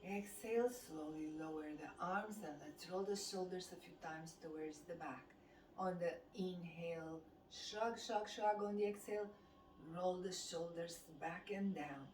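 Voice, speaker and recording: A woman with a Romanian accent leads a guided exercise class as the instructor, giving measured movement and breathing cues. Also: fairly low pitch, a little mellow. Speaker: fitness and qigong instructor. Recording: distant mic, echoey room, background noise.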